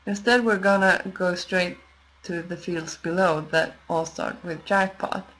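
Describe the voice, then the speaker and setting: Female tutorial presenter, slightly low voice, slow and measured, explaining software steps with working pauses. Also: fairly even intonation, poor audio. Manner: measured, explanatory, pausing as she works. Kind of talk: unscripted step-by-step screen tutorial narration.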